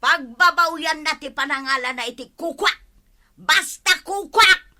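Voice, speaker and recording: A woman's voice: sits high, measured pace, bright, forceful tone, a little hiss or hum, full character voice. A woman playing an indignant, quarrelsome village woman with an Ilocano accent in a radio comedy-drama, arguing emphatically that she is the wronged one. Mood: defiant. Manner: argumentative, emphatic.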